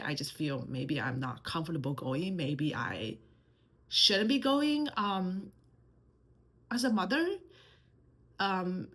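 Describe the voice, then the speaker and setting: Woman in her 30s, Mandarin-accented English, low husky voice, hesitant personal vlog delivery. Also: a bit bright. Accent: Mandarin Chinese. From China. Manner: hesitant, many fillers and restarts. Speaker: channel host. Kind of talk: personal vlog talk to camera.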